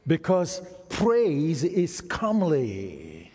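A man's voice: a little high, wildly swooping pitch, extremely slow, mellow, slightly rough. A man, Isoko his tongue, preaching a sermon and engaging the congregation with questions. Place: church hall. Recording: reverberant hall.

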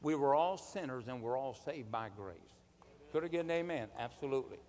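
A man preaching a sermon in an emphatic, deliberate manner, with rhetorical questions and calls for response. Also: mid-range voice, expressive, a little mellow. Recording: long hall reverb.

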